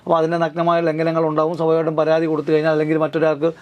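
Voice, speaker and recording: Rapid-fire man explaining law. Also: a little high, completely flat delivery, highs cut off. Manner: rapid, repetitive, keeps asking himself rhetorical questions. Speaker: lawyer guest. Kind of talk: legal commentary in a studio interview.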